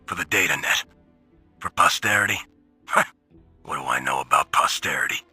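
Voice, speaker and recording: A blunt machine soldier recording a log for a video game. The voice is very low, with a hard, forceful edge, terse and gruff over faint music. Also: male voice, animated, moderate pace, exaggerated voice. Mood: sardonic, gruff. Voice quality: gravelly, raspy. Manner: terse, matter-of-fact, gruff. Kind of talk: scripted in-character audio log.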